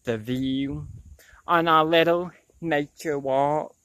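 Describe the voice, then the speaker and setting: A man's voice: fairly high pitch, steady tone, takes it slowly, pushed and bright, clear, resonant tone, no room sound. A man in his twenties chatting casually to viewers as he vlogs on a nature trail, recorded outdoors on a lapel mic. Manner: addresses viewers casually as y'all. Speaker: vlogger. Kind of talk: casual outdoor vlog chat. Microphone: small clip-on lapel mic.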